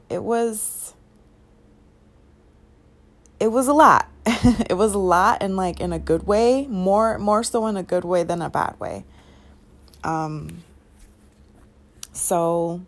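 Low, slow, sleepy-voiced woman chatting. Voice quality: sleepy-sounding voice. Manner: relaxed, chatty, many fillers and soft laughs. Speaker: podcast host. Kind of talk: casual solo podcast chat.

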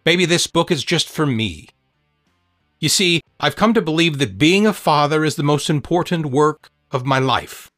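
A man narrating his own book as an author and podcast host, in an earnest, measured, motivational way. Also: a little high, expressive, a bit bright, no room sound, clean studio sound, faint music behind. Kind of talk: scripted audiobook narration.

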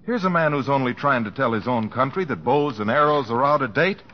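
An actor playing an earnest, indignant aviator in an old-time radio drama. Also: male voice, mid-range voice, animated, a little fast, natural voice. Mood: indignant, urgent. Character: earnest, indignant aviator pleading his cause. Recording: muffled old radio recording.

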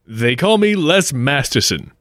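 A man's voice: medium pitch, big pitch swings, a little slow, a bit bright, dry recording, studio quality. A man playing a brash, cocky but polite adventurer hero in a comic radio play. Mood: polite, wry. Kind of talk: 1940s-style radio play acting.

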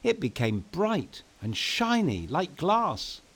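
A man's voice: fairly high pitch, huge pitch swings, slow pace, fairly bright tone, husky. A man narrating a scripted children's story in a playful, theatrical manner, speaking directly to young listeners. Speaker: storyteller and host of a children's bedtime podcast. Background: music.

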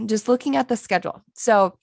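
A woman's voice: mid-range voice, moderate pace, vocal fry, no room sound, clean studio sound. A woman in her thirties hosting a fitness podcast, talking casually and a bit nasally from a cold, rambling with fillers. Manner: chatty, rambling with fillers and restarts. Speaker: podcast host, fitness coach.

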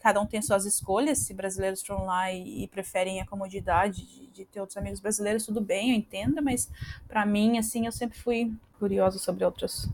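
A woman's voice: mid-range voice, steady tone, fairly quick, darkish tone. Chatty Brazilian woman, podcast guest. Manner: chatty, frequent fillers and restarts. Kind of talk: casual interview conversation.

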